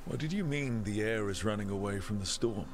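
An actor playing a steady, protective male adventurer hero in a video game, direct and inquisitive. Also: on the low side, brisk, slight background noise, natural voice.

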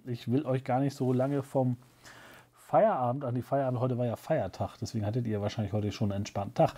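Mellow, rambling man hosting a casual show. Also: medium pitch. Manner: rambling, jokey, self-ironic. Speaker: shop owner presenting a weekly web show. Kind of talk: casual product-show chat to camera. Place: small cluttered indoor room.

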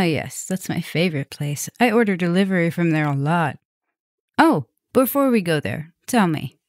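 A woman's voice: fairly low pitch, a little mellow, dry recording, clean studio sound. A woman playing a flirty, teasing vampire professor in an affectionate, chatty ASMR roleplay spoken to the listener.

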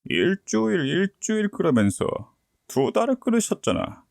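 Man doing a menacing loan shark boss, bit high, swingy, dry close mic. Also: huge pitch swings, moderate pace, gravelly, a little mellow, no echo, heavily acted. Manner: curt, threatening commands.

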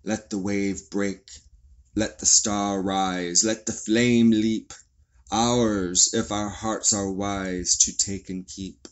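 A man reading poems aloud in a solemn, deliberate way. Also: on the low side, flat delivery, muffled recording. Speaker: poetry reader. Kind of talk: slow poetry recitation.

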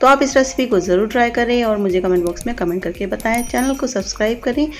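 A woman's voice: fairly high pitch, fast talker, soft background music. A woman giving informal step-by-step instructions as a cooking channel host. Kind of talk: recipe voice-over, step-by-step instruction.

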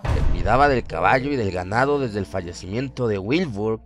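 A man narrates a horror tale in a scripted radio serial style. His voice sits at an ordinary male pitch, with music playing behind it.

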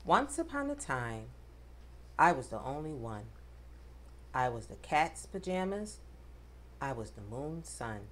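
A woman reading a rhyming picture book aloud to children, with expressive, dramatic emphasis. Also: rumbling low, measured pace, long pauses. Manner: addresses children directly, dramatic emphasis on rhymes.

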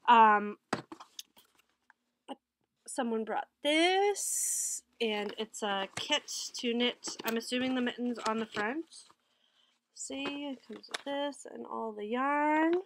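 A woman's voice: fairly high pitch, animated, slow pace, long pauses, slight background noise. A woman in her thirties with a head cold, sounding a bit nasal, chatting rambly about her knitting haul to a webcam. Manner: chatty, rambling, self-correcting. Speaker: knitting vlogger. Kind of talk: casual haul and recap vlog. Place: small wood-paneled room with bookshelves. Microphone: webcam at desk.

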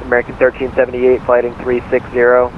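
A man is directing aircraft as a controller over a narrow, muffled radio channel. His phrasing is clipped and procedural, delivered quickly, with a steady drone of noise behind it. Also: medium pitch. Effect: radio transmission. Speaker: air traffic controller. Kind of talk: radio air traffic instructions.

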